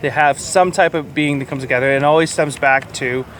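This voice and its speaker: A man's voice: fairly even intonation, fairly quick, fairly bright tone. A man co-hosting a podcast, casually reviewing a movie in a crude, joking, profane way. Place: outdoors or in a car near a highway. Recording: outdoor traffic noise from nearby highway. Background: highway traffic.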